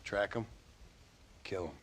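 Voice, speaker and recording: An actor playing a weary, folksy former outlaw in a video game, with a rural American Western accent and a restrained manner. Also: male voice, takes it slowly, background noise, close to an everyday voice. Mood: bitter, resigned. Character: weary, plain-spoken former outlaw turned farmer, polite but grim. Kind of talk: video game character acting.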